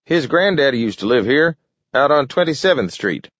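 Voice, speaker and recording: A man voicing a feisty, folksy old grandfather from a storybook, shrill and crowing. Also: big pitch swings, normal speed, fairly bright tone, no room sound, lo-fi sound. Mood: eager.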